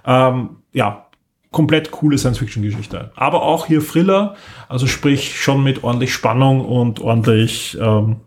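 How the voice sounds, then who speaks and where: A man's voice: medium pitch, normal speed. Enthusiastic, rambling man chatting. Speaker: podcast co-host. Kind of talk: casual podcast chat, recommending audio dramas.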